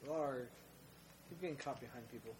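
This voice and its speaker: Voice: medium pitch, normal speed, a little mellow, a little hiss or hum. A player teasing friends in a dry way during a racing game. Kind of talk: casual gaming chat.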